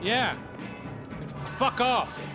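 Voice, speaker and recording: An actor playing a streetwise, cocky but friendly ex-cop bodyguard in a video game, slangy and familiar. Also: male voice, extremely high pitch, very slow, exaggerated voice. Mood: tough. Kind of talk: video game cutscene acting. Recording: music behind the voice.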